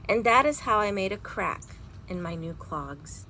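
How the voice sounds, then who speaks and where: A woman reading a children's storybook aloud in an expressive, animated way with dramatic pauses. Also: medium pitch. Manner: animated, dramatic pauses, chanting sound words. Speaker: librarian reading picture books at storytime. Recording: noisy home recording.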